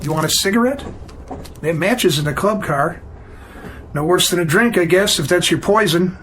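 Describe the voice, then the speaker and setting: An actor playing an amiable, plain-spoken train conductor in an audio drama, talking in a folksy way. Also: male voice, high voice, moderate pace, a bit bright, a little hiss or hum. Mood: affable, firm.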